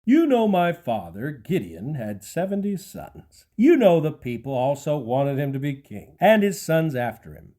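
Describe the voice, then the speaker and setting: A man's voice: fairly high pitch, very animated, measured pace, hardly any pauses, warm tone. A man playing a scheming, ruthless claimant to a throne in an audio Bible drama, with feigned courtesy. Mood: persuasive.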